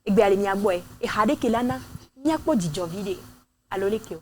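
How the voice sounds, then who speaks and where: Une femme à l'togolais anime une émission télévisée pour la jeunesse chrétienne en studio et relance ses invités avec entrain. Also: female voice, mid-range voice, normal speed, noisy background. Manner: lively and engaging, prompts guests. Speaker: TV show host. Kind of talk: hosting a church youth TV program.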